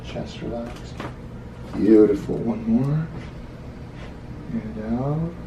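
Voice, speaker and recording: A man's voice: mid-range voice, drawn-out words, gravelly. A man working as a chiropractor, coaching patients in short, repeated instructions with approving remarks. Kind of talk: calm hands-on coaching of patients during adjustments. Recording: roomy, distant mic, loud background noise.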